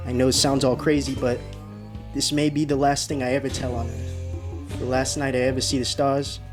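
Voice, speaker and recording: A man acting a haunted man in his thirties who recounts his road trip in a radio drama, urgent and halting. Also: medium pitch, flat delivery, music in the background, lightly acted. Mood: haunted, desperate.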